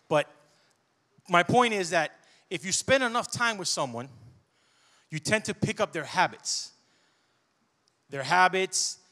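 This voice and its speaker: A man in his forties preaching a sermon on a church stage into a handheld mic, conversational and animated, with personal asides. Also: high voice.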